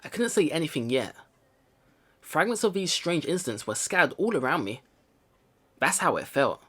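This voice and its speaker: A man's voice: a little high, very animated, normal speed, fairly bright tone, slightly rough. A man hosting a let's play, reading game narration aloud and reacting casually. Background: game music.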